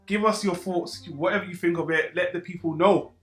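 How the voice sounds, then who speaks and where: A man's voice: sits high, steady tone, fairly quick. A man hosting a casual group film discussion. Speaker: host of a group film review. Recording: roomy echo, music behind.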